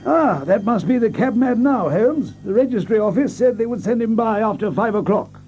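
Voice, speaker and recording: An actor playing an amiable, earnest gentleman, a detective's companion, who also narrates the audio drama. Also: high-pitched, expressive, normal speed, dull audio, slight background noise. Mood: intrigued.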